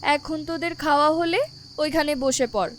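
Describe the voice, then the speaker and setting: A woman playing a kindly but bossy older grandmotherly figure in an audio story, with a drawn-out, coaxing delivery. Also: sits high, steady tone, a little hiss or hum, natural voice. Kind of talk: dramatized audio story acting.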